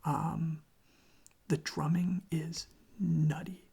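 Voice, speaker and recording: An ASMR creator speaking very softly and casually into a close microphone, with a breathy, creaky voice and a meandering, quietly enthusiastic way of talking. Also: mid-range voice, flat delivery, very slow. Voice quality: whispery, breathy with creaky fry. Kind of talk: soft-spoken ASMR, rambling music talk.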